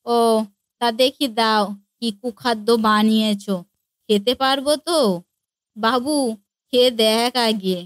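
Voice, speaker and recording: Woman playing a quick-fire nagging older cartoon mother-in-law. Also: a little high, no room sound, lightly acted. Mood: scornful. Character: suspicious, scornful older mother-in-law, fussy and bossy. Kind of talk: Bengali family comedy cartoon dubbing.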